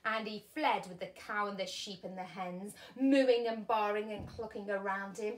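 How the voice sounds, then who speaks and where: A woman in her thirties reading a children's story like a primary teacher, expressively and with dramatic pauses. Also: medium pitch, poor audio. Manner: animated, deliberate, dramatic pauses. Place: small furnished room at home, indoors.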